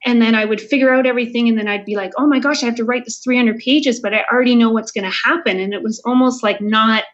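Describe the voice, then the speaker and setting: A woman's voice: fairly high pitch, steady tone, quick pace. A woman with a Canadian accent talking casually and earnestly as a guest author in an interview, thinking aloud as she goes. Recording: dull lo-fi audio.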